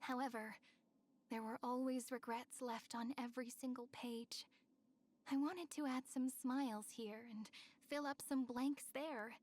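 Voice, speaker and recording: Sweet, dreamy young game girl, high-pitched. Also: steady tone, unhurried. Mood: dreamy, wistful. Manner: sweet, wistful, softly teasing. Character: gentle, playful young girl with a romantic, dreamy temper. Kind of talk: video game character voice lines.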